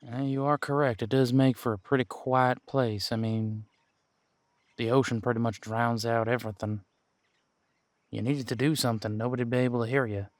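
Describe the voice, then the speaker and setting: A man playing a seasoned, wry detective who patiently mentors a rookie in an audio roleplay drama, musing aloud in unhurried, folksy phrasing. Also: mid-range voice, level tone, no echo, close to an everyday voice. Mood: thoughtful, questioning. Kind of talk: roleplay audio drama, one-sided conversation.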